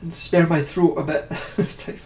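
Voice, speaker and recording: A man's voice: a little high, fairly even intonation, unhurried, a little mellow, roomy sound, far from the mic. A man with a stuffed-up nose from a cold, chatting in a jokey, self-deprecating way while hosting a casual editing tutorial stream.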